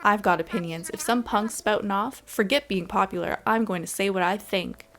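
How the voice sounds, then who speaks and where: A woman's voice: mid-range voice, moderate pace, close to an everyday voice. Woman doing a sassy opinionated video game villager, brash and theatrical, music behind. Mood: defiant, boastful. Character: blunt, sassy popular game villager, acted in dramatic dialogue. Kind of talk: dramatic reading of game dialogue.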